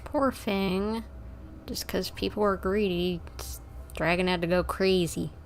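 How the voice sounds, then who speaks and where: A woman's voice: mid-range voice, fairly even intonation, measured pace. A woman livestreaming a game, giving casual, playful, chatty commentary as it plays. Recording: livestream mic with faint background noise.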